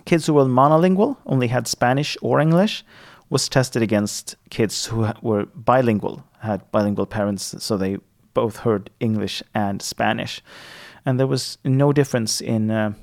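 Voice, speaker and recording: A man's voice: mid-range voice, moderate pace, creaky. A man in his thirties from Sweden with a Swedish accent, speaking English as a second language in a hesitant casual monologue full of fillers and restarts. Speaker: linguistics student.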